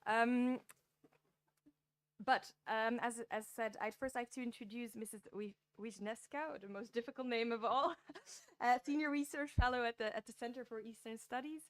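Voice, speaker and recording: A woman's voice: a little high, clean tone, dry recording. A young woman from Belgium moderating a panel debate at a podium, speaking friendly English with many ums and restarts. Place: conference room at a podium. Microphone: podium microphones.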